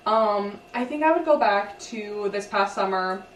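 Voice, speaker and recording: A young woman with a Valley Girl accent and vocal fry, co-hosting a podcast in casual chat, rambling with restarts. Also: medium pitch, animated, unhurried, slight background noise. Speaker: podcast co-host. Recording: echoey room, mic at a distance.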